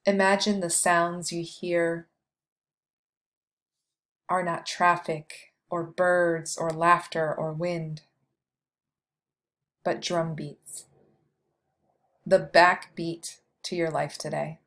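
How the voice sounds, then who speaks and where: A woman leading a guided meditation, speaking slowly and softly with long pauses between phrases. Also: lowish voice, level tone. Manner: slow, soft, soothing delivery with long pauses.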